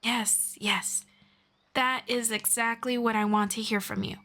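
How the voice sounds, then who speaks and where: Woman voicing a soft-spoken ageless elf mentor. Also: on the high side, fairly even intonation. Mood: gentle, soothing. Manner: soft-spoken, slow and patient, drifting into whispers. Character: gentle ageless female elf mentor, patient and kind. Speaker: voice actor. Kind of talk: ASMR roleplay.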